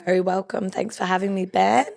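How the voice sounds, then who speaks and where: A woman in her thirties with a croaky, husky voice, telling her story candidly as a podcast guest. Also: mid-range voice, flat delivery, fairly quick, a little mellow. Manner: candid, storytelling, lists things off. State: hoarse, croaky. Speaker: podcast guest, business co-owner. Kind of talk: casual interview chat.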